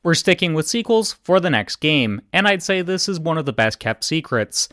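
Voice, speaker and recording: Deadpan guy talking games, smooth clear voice, dry close sound. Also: fairly high pitch, normal speed, dry recording. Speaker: video game commentator. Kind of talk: scripted video commentary.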